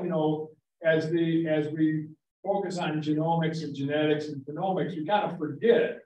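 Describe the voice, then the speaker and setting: Brief: thoughtful man speaking from the floor, mellow voice, distant in an echoing hall. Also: a little high. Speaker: audience member. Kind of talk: question from the floor. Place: conference room. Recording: echoey hall from far off.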